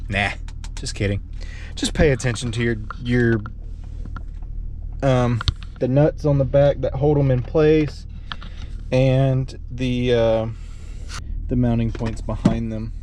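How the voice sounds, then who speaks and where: A man giving a casual hands-on repair walkthrough as a vlogger, thinking aloud haltingly as he works in or beside a truck. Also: mid-range voice, mellow. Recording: clearly noisy vehicle ambience.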